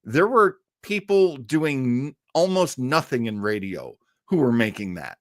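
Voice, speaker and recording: An American man hosting a listening party with casual live commentary, chatty and halting as he rambles. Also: medium pitch, big pitch swings, no room sound, studio quality. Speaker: host of an old-radio listening party.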